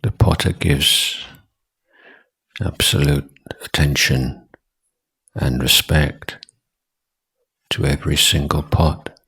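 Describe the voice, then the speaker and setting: Very deep, unhurried husky man guiding meditation. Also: steady tone, sharp tone. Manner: measured, deliberate, short phrases with long pauses. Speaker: Buddhist monk, meditation teacher. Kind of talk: guided meditation instruction.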